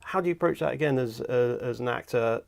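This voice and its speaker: A male host with a gravelly voice runs a studio interview. He is chatty and encouraging, and his questions ramble. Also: medium pitch, fast talker. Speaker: host and audiobook producer. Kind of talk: casual interview chat. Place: recording studio.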